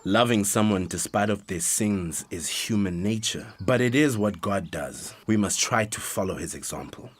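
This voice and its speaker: An actor playing a solemn older South African pastor in a radio drama, giving measured counsel in a husky voice. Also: male voice, lowish voice, lightly acted. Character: measured older male church pastor, remorseful father.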